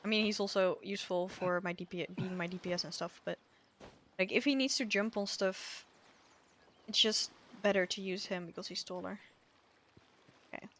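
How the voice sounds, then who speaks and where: A woman's voice: mid-range voice, steady tone, normal speed, pauses often, a bit bright, a little hiss or hum. A woman streaming a game playthrough, chatting casually in a rambling, self-deprecating, giggly way. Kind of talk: casual playthrough chat and reading dialogue aloud. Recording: music behind the voice. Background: game music.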